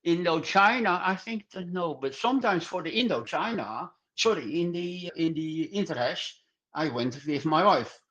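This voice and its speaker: Halting older man, muffled audio. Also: on the high side, expressive, fairly bright tone. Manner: halting, repetitive non-native English. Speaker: podcast guest, club singer. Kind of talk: casual interview answers.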